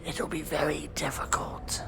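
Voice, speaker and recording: A weary demon judge of hell, acted out by a performer, speaking in a slow, deliberate whisper with a gravelly creak. The delivery is dry and deadpan, like a horror ASMR role-play for a new trainee. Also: medium pitch. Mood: solemn, dry. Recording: music behind close whispered voice. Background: music.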